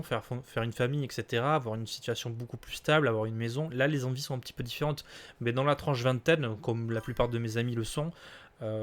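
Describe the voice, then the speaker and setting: Casual streamer, man in his late twenties, hesitant, filler-heavy delivery. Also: medium pitch. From southern France. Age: late 20s. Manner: hesitant, many fillers and restarts, laughs easily. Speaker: streamer answering viewer questions.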